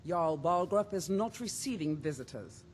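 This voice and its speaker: An actress playing a stern, suspicious bodyguard to a lord in a fantasy game, commanding and formal. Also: female voice, fairly low pitch, animated, normal speed, darkish tone, breathy edge. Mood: stern, guarded.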